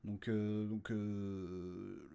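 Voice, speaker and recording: A man's voice: lowish voice, little pitch movement, very slow, vocal fry, mellow, smooth. A man talking informally and unscripted as a podcast host, hesitant and jokey, often repeating words as he searches for them. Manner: jokey, crude slang. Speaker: creator and host of a fiction audio podcast. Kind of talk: informal unscripted update to listeners.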